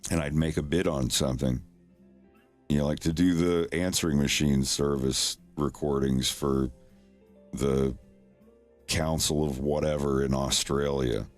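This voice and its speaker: A man's voice: a bass voice, fairly even intonation, measured pace, faint music behind. A man chatting casually on his livestream, rambling and chuckling in a self-deprecating way, into a desk microphone at home. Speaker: streamer, animator.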